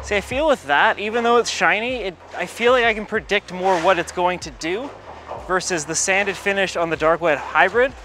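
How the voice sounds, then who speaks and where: A man's voice: sits high, normal speed, pushed and bright, faint music behind. A man in his thirties gives a casual bowling ball review in a large bowling alley, muttering play-by-play between throws. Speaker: pro shop ball reviewer.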